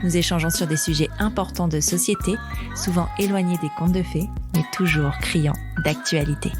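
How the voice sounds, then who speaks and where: A woman's voice: lowish voice, steady tone, moderate pace, over music. A woman hosting a podcast, speaking informally and directly in an interview show style. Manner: informal, addresses listener and guest familiarly. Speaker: podcast host. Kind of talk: podcast introduction and conversational interview.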